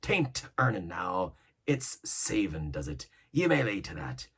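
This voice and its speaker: Man putting on old pirate cook, scheming, boastful, coaxing, swooping pitch, husky. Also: big pitch swings, unhurried, fairly bright tone, exaggerated voice. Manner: coaxing, boastful, rolling seaman's talk.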